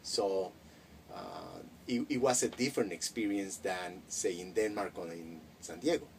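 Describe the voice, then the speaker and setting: Middle-aged man, Spanish first language, low voice heard from across the room, thoughtful and full of restarts. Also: measured pace, vocal fry. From Mexico. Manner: reflective, many hesitations and restarts. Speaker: interviewee. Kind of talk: casual interview. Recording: distant mic with faint noise.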